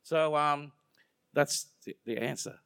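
A man's voice: fairly high pitch, takes it slowly, creaky, fairly bright tone, clean tone, no echo, studio quality. A young adult man with an Australian accent, being interviewed, talking casually and joking, drifting onto tangents. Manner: rambling, joking, self-deprecating, goes off on tangents.

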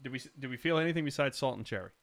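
A man's voice: medium pitch, lively intonation, very fast, a bit bright. A man chatting casually as a podcast host, with wry, teasing and sometimes profane banter. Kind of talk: casual banter, beer tasting chat.

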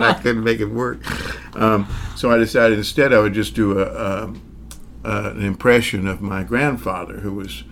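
A man in his sixties, a magician, telling his story in an interview at an unhurried pace, pausing often with fillers and chuckling now and then. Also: on the low side, fairly bright tone, slight background noise. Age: 60s. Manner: hesitant, many pauses and fillers; reflective, chuckles as he talks.

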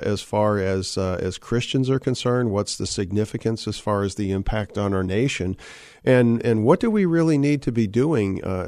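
A middle-aged man hosting a radio talk show, a little hoarse from a cold, talking with many "uh" fillers and restarts. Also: fairly low pitch, darkish tone, dry recording, clean studio sound. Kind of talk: live radio show opening monologue. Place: radio studio. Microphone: studio microphone.

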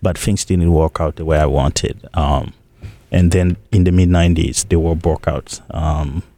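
Deep-voiced Congolese man, mellow tone. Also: steady tone, no room sound. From eastern Congo. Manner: measured, pauses often with fillers. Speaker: guest. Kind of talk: interview conversation.

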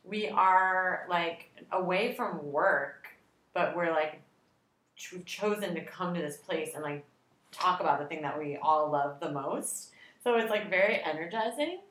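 Frau als Co-Host, direkt und derb im Ton, tiefe Stimmlage, Raumhall. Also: female voice, fairly low pitch, unhurried, fairly bright tone, reverberant, low-quality recording. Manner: frank, swears casually. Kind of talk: casual chat.

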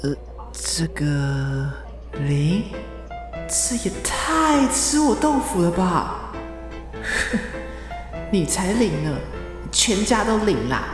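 A sweet young dentist in a romance radio play, acted by a man, light-voiced and very slow to get his words out. He stammers dreamily and swoops with smitten fluster. Music plays prominently underneath. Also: high voice, big pitch swings, reverberant, heavily acted. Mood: bashful. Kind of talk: romantic radio drama acting.

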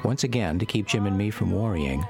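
A man narrating a scripted first-person memoir for a radio drama in a measured storytelling manner. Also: lowish voice, animated, creaky. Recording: music bed under the voice.

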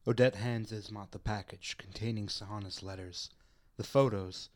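A man narrating a radio drama, reading stage directions in a deliberate, literary storytelling manner. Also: lowish voice, steady tone, no echo, studio quality.

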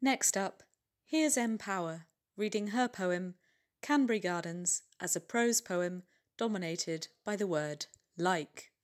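A woman's voice: fairly low pitch, expressive, slow. Woman presenting podcast introductions. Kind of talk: scripted introductions.

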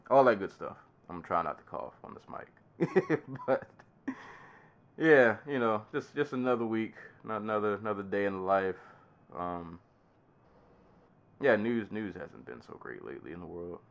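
Congested deep-voiced male podcast host. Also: animated, a little slow, gravelly, fairly bright tone. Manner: laughs often and coughs. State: congested with a summer cold. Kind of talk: casual podcast chat.

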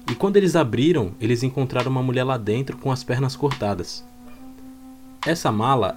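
A man's voice: mid-range voice, darkish tone. A Brazilian man telling a true crime story in a plain, explanatory way with informal wording. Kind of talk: storytelling narration. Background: background music.

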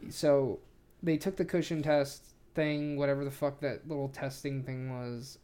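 A man's voice: fairly high pitch, a little mellow. A man hosting a podcast in casual chat, swearing freely and rambling insistently as he repeats his points. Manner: profane. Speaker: podcast host.

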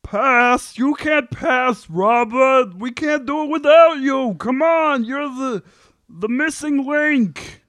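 Man doing a very high-pitched whiny sitcom son. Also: slow pace, a bit bright, no room sound, clean studio sound, heavily acted. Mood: pleading. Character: whiny, defensive adult son, sitcom brother. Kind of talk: sitcom table read.

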